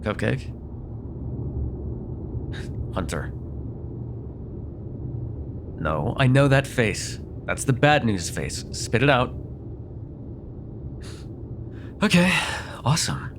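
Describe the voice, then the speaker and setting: A man's voice: medium pitch, sing-song, takes it slowly, pauses often, noisy background. A man playing a sardonic, grudgingly apologetic vampire in an immersive roleplay, talking in a wry, hesitant, conversational way with some swearing. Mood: exasperated, uneasy. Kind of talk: immersive ASMR roleplay acting, one side of a dialogue.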